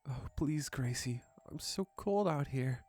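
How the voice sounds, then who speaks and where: A woman's voice: very deep voice, animated, unhurried, darkish tone, breathy edge, soft background music, full character voice. A woman voicing a small, sweet living doll that coaxes plaintively in a horror story reading. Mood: coaxing, plaintive.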